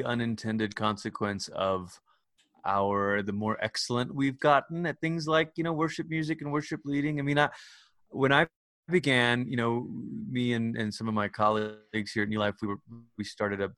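A man's voice: medium pitch, fairly bright tone, dry recording. A man from Malaysia, a church leader, talking casually and reflectively as an interview guest on a video call, often adding "you know".

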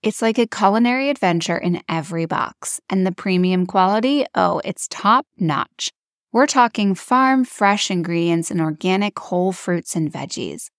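A woman's voice: fairly low pitch, moderate pace, no room sound, clean studio sound. A woman podcast host delivering a scripted, promotional sponsor read in a personal testimonial style.

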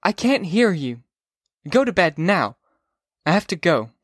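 A man reading aloud, voicing a sharp young woman who curtly scolds a drunk caller. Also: sits high, very animated, a little slow, no room sound, studio quality, pitched above the performer's own voice, heavily acted. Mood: impatient. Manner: curt, impatient.

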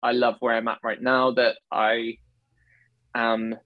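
An earnest baritone man in his thirties, from England, speaking at an everyday pitch as an interview guest. He talks effusively and keeps correcting himself mid-sentence. The sound is dry and close, with no room around it. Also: flat delivery, slow pace, dry recording, highs cut off. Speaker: guest, actor and singer. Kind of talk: conversational interview.

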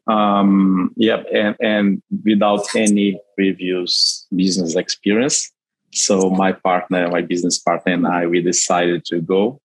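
A man from Brazil with a Brazilian Portuguese accent, a company CEO answering interview questions haltingly with frequent restarts. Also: sits low, completely flat delivery, dry recording, rough recording. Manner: halting, many restarts and fillers.

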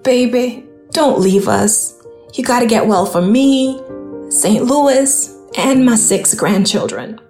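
A woman's voice: a little high, takes it slowly, a little mellow, soft background music. A woman playing an anxious, loving older mother and grandmother in an audio drama. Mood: pleading, tender.

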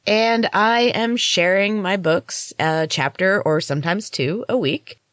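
A woman's voice: mid-range voice, slow, fairly bright tone, dry recording. Woman narrating a story, dry sound. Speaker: podcast host and author reading her novel. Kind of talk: audiobook-style story narration.

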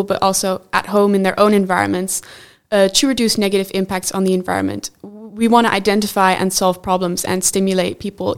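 A teenage girl from the Netherlands, answering questions as a student guest in an interview. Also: medium pitch. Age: teens.

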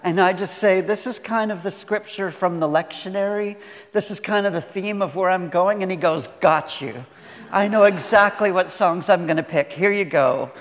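A man's voice: high-pitched, moderate pace. A male preacher talking informally and effusively to his congregation, recorded in a reverberant church. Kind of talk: informal sermon, conversational talk to congregation. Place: large indoor church space.

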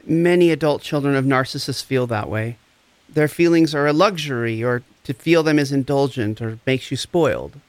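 A man's voice: mid-range voice, normal speed, no room sound, close to an everyday voice. A man acting the part of a compassionate recovery coach in an audio drama, tender, soothing and earnest. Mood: concerned, sympathetic. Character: compassionate, gentle, encouraging recovery coach and friend.

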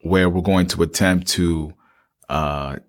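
A man's voice: sits low, steady tone, takes it slowly. A man co-hosting a conversational discussion, speaking colloquially with short interjections and repeated phrases. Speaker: co-host.

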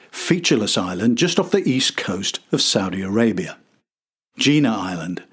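A man's voice: mid-range voice, slightly rough. An older man narrating a scripted history podcast as its host.

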